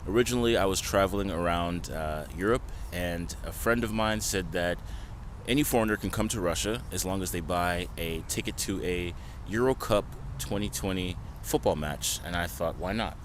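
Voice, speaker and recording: A man's voice: deep voice, normal speed. A man from the United States answering questions in a casual, emphatic street interview. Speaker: foreign interviewee. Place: outdoors on a city street. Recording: outdoor street with clear traffic noise.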